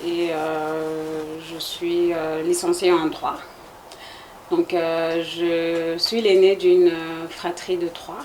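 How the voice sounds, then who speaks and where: A woman's voice: fairly low pitch, flat delivery, takes it slowly, darkish tone, a little hiss or hum. A woman from Congo in her forties, answering interview questions in a hesitant, explanatory way with many fillers. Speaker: guest, association president.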